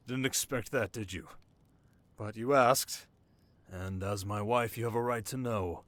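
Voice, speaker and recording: An actor playing a sharp, haunted young king in an intimate ASMR romance roleplay, talking wryly and dramatically to his listener. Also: lowish voice, slow pace, pauses often. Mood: haunted, grave. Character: young desert king, sharp, wry, haunted, teasingly tender.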